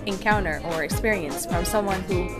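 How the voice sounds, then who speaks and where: A woman's voice: lowish voice, moderate pace. A woman from the United States giving earnest, fluent interview comments. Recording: music playing behind. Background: music.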